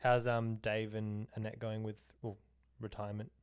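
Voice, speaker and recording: A man's voice: fairly low pitch, moderate pace, a little hiss or hum. Teasing young Australian man. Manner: teasing, animated storyteller. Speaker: podcast co-host. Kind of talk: casual chat between mates.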